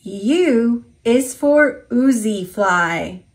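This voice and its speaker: A woman with an American accent reading an alphabet picture book aloud for toddlers, enunciating simply and deliberately. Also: fairly high pitch, lively intonation.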